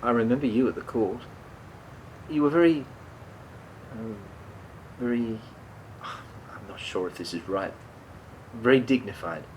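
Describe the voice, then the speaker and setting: A man's voice: a little slow, pauses often, lightly acted, poor audio. An actor in a radio play playing a remorseful, defensive man who speaks hesitantly, stumbling and repeating himself. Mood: subdued, awkward. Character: remorseful, defensive man haunted by a fatal drunk-driving crash. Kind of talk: naturalistic radio play dialogue. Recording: steady background noise, low rumble.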